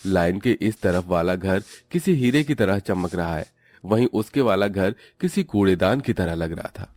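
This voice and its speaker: A man's voice: sits low, expressive, moderate pace, a little mellow, no echo. A man narrating a scripted family cartoon story as the storyteller.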